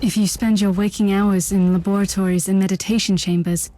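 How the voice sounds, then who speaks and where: A woman's voice: medium pitch, flat delivery, fairly quick, background noise, close to an everyday voice. An actress playing a defiant, bookish young woman in a video game, speaking solemnly. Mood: solemn, reflective. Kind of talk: dramatic video game acting.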